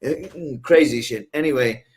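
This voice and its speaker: English male guest, haughty, rambling, many fillers, mid pitch. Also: moderate pace. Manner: rambling, many ums and rhetorical "right?"; haughty airs. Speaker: guest author. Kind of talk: casual interview talk.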